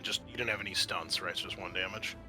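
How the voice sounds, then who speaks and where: A man's voice: low voice, steady tone, quick pace, music in the background. A man acting as game master, narrating and refereeing a tabletop roleplaying game.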